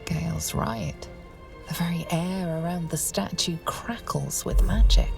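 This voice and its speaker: Petualang wanita, suara rendah serak berderak. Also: female voice, lowish voice, a little slow, gravelly, far from the mic, music in the background. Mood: awed. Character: awed adventurer woman, hushed and reverent. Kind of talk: dramatic video game dialogue.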